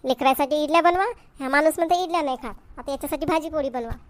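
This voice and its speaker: Lady doing a fed-up cartoon village wife, super high voice, talks fast, varhadi marathi accent, always scolding. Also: lightly acted. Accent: Varhadi Marathi from Vidarbha. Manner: scolding, complaining, sharp-tongued. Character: exasperated, hard-working village wife and mother who talks back. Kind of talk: comedy cartoon dialogue.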